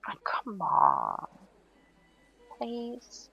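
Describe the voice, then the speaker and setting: An actress voices a sleepy young female patient in an intimate audio roleplay. Her voice is husky and breathy, swinging expressively between soft whispers and pouty murmurs. She is stubborn and playful despite her tiredness, and the recording is slightly dull. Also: on the low side, wildly swooping pitch, very slow, faint music behind, full character voice. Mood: playful pleading. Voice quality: breathy, often dropping to a whisper.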